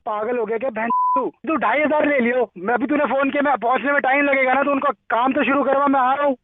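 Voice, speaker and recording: A man's voice: extremely high pitch, steady tone, quick pace, no echo. A man on a phone call, agitated, blunt and irritated, as the target of a prank. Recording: dull lo-fi phone line.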